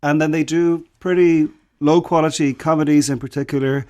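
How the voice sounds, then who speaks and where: Man asking relaxed interview questions. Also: fairly high pitch, normal speed. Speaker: radio interviewer, host.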